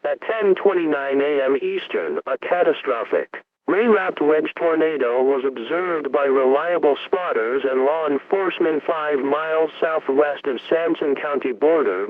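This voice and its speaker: A man voicing a stern, impersonal emergency alert announcer, reading formal warnings in clipped, detached official phrasing. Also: on the high side. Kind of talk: scripted emergency broadcast warning. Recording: tinny phone-like emergency radio broadcast.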